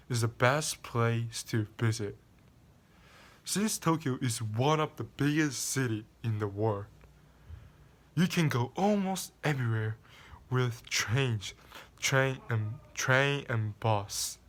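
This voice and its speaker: A man's voice: mid-range voice, a bit bright. A young man raised in Japan, a non-native English speaker, giving a practice informative speech to his class with many restarts and fillers. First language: Korean or Japanese. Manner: halting, frequent restarts and fillers. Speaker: student.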